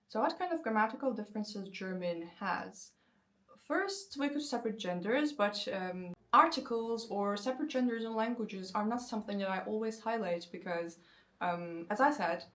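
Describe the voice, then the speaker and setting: A woman in her twenties, a native Turkish speaker, explaining language learning in a casual, lively way and slowing down for example sentences. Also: medium pitch. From Turkey. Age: 20s. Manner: lively, conversational, slows down to spell out example sentences. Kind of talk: casual explanatory talk to camera.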